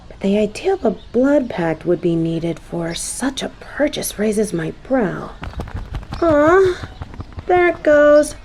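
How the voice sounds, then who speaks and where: A woman playing a snobby but secretly soft fallen angel in a scripted audio roleplay, with prim, formal phrasing. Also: on the high side, heavily acted. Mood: haughty, suspicious, then smitten, cooing.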